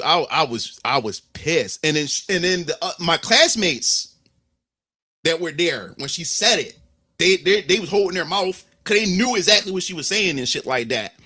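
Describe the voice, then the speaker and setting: A young man with a Philadelphia accent tells a long story straight to the mic. His voice sits a little high and sounds bright and forceful, and the sound is dry and close. He talks casually, swears freely and keeps restarting his phrases. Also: animated, moderate pace, no room sound. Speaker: streamer.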